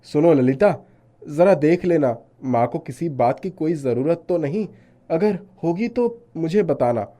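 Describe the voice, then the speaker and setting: Man playing a gentle worried shopkeeper, mellow voice. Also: fairly high pitch, animated, a little slow. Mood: gentle, caring. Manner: earnest, soft-hearted. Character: devout, gentle, worried shopkeeper, devoted son. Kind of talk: animated moral story dialogue.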